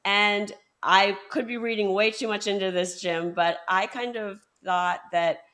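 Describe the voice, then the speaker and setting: A woman hosting a book podcast, building her questions slowly with fillers and hesitations. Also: mid-range voice, steady tone, a bit bright. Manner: measured, hesitant with fillers while framing questions. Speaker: podcast host. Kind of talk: conversational interview.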